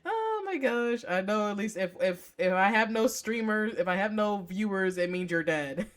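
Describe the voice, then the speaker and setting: A woman streaming a visual novel playthrough, chatting casually and playfully with expressive reactions and casual swearing. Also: mid-range voice, takes it slowly. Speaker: game streamer.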